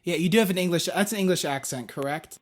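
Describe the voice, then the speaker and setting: A man's voice: sits high, fast talker, a crowd in the background. Friendly male interview host. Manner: friendly, rambling questions with restarts. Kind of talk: interview questions.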